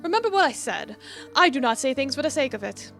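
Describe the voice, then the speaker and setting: A woman's voice: high-pitched, very animated, quick pace, vocal fry, fairly bright tone, soft background music, heavily acted. A woman voicing an elegant, sincere young mermaid in a fairytale game, with formal, stately diction. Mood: earnest.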